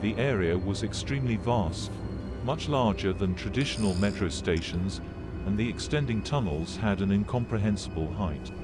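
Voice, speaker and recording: A man with a fairly low voice narrates like a sober documentary. His delivery is measured, formal and matter-of-fact, with music clearly running underneath. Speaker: narrator of an analog horror video. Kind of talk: serious scripted documentary-style narration. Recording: played video with background music.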